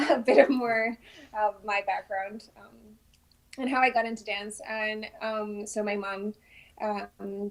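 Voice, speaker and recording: A woman in her thirties, a ballet dancer from Trinidad, answering interview questions casually with many pauses and ums. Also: mid-range voice, low-quality recording. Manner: soft-spoken, many ums, thoughtful. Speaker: guest, principal ballet dancer.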